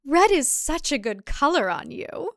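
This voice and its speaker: A woman's voice: high voice, very animated, a little slow, a bit bright, no room sound, clean studio sound, pitched above the performer's own voice, exaggerated voice. A woman voicing a vacant, entranced, giggly cult wife in an audio drama, airy and sing-song. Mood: dreamy approval.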